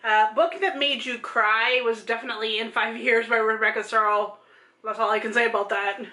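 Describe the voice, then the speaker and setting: A woman's voice: fairly high pitch, fairly even intonation, fairly quick, bright, forceful tone. A woman in her thirties chatting casually about books as a vlog co-host, gushing and emphatic. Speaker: book vlogger, co-host. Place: small room at home. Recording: roomy home video sound.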